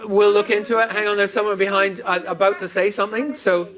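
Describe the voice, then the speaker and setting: A man moderating a panel at a live conference, earnest and halting, often restarting his sentences. His voice sits a little high for a man. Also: little pitch movement, crowd noise, low-quality recording. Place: conference venue on stage.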